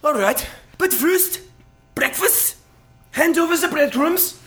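A woman's voice: high voice, lively intonation, slow, faint music behind. A woman voicing a greedy, selfish young boy who whines and mocks in a comedic audio drama. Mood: whiny. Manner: whiny, mocking, bossy.

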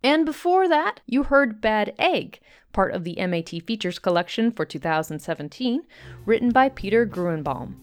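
A woman's voice: medium pitch, big pitch swings, normal speed, clear, resonant tone. A woman hosting, reading a scripted podcast outro over faint music. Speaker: host.

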